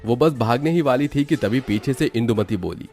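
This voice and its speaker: A man's voice: medium pitch, lively intonation, moderate pace, a little mellow, faint music behind. A man giving scripted narration for a horror cartoon story.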